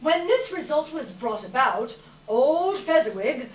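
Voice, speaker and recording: A woman's voice: high-pitched, very animated, unhurried, heavy background noise. A woman narrating a story in a live dramatic reading, heard from far off in an echoey hall. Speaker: narrator in a readers theater. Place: live event room, distant microphone.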